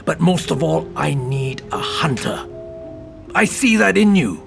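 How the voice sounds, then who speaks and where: A man playing a gruff, blunt, foul-mouthed senior police detective with a gravelly voice in an audio drama. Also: on the high side, very animated, a little slow, faint music behind, heavily acted. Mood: intense, persuading. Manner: blunt, persuasive, intense.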